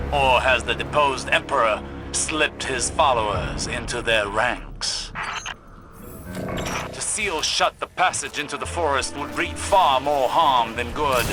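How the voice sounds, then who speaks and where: A grave, pompous scholar of time played by a male actor instructs a game hero. His mid-range voice is formal and measured, set over prominent music. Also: mid-range voice, natural voice. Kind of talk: video game mission narration.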